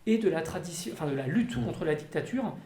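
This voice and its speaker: Measured lecturing panelist, echoey room. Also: mid-range voice, animated, mellow. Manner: measured, lecturing, with some fillers. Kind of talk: round-table discussion, explanatory. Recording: echoey room, faint ambience.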